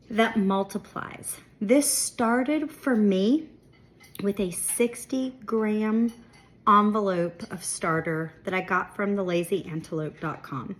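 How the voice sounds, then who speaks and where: Young woman, congested nose, chatty and reassuring, mid-pitched, home kitchen, faint background hum. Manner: chatty, self-deprecating, reassuring. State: congested, sniffling. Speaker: home baking vlogger. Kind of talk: casual baking tutorial talk.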